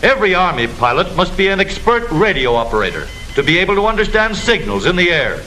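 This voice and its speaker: A man's voice: high-pitched, very animated, fast, over music. An American man delivering scripted 1940s newsreel-style narration for a military training film, in a declamatory, instructive manner. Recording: noisy old film soundtrack.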